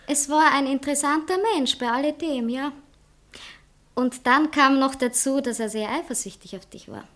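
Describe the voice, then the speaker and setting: A woman's voice: sits high, normal speed, a bit bright. A woman playing a gushing, touchy bohemian poetess in a satirical comedy, with an Austrian accent and an affected, earnest manner. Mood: defensive. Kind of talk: satirical stage comedy acting.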